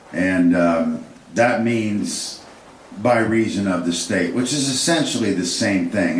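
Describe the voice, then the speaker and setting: A man's voice: low voice, slight background noise. A man giving an unscripted history lecture, rambling with fillers and restarts. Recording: echoey room, distant mic.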